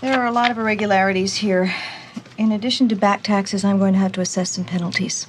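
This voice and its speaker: Actress as strict, officious tax auditor. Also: female voice, medium pitch, steady tone, quick pace, natural voice. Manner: officious, matter-of-fact. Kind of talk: television drama acting. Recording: faint background noise, room ambience.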